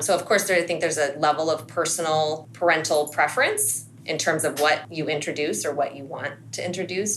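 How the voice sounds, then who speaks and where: A woman's voice: low voice, a bit bright, rough recording. A woman podcast guest, a school administrator, explaining and giving advice conversationally. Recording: faint background room noise.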